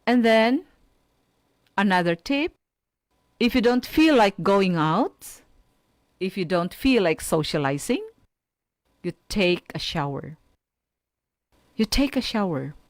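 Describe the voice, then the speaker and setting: A woman with a Filipino accent teaches a radio class in a slow, deliberate delivery. Her pitch moves in lively swings as she stresses and repeats key phrases for students. The sound is dry and close. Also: no room sound. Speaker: teacher on a school-on-the-air radio program. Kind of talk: educational radio lecture addressed to students.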